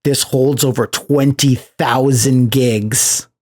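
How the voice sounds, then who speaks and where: A man hosting a podcast in casual chat, recapping things as an animated storyteller who slips into acted-out quotes. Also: medium pitch, slow pace. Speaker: podcast host.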